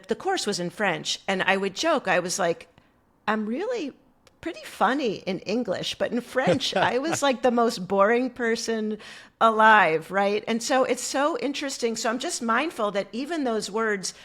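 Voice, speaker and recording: A middle-aged American woman telling a story as a guest on an interview show, animated and full of fillers. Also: mid-range voice. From United States. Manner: animated, many fillers like "like" and "you know". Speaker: guest coach.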